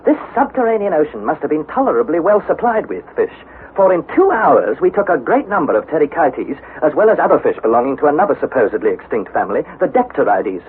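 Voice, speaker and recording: A young adventurer, earnest and inquisitive, in an old-time radio serial. His fairly high voice moves up and down with lively feeling over a narrow, tinny broadcast sound with faint noise. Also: fast. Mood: dramatic narration. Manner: questioning, tentative, dramatic storytelling. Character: earnest, curious young nephew, narrating his expedition journal. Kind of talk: vintage radio drama narration and acting.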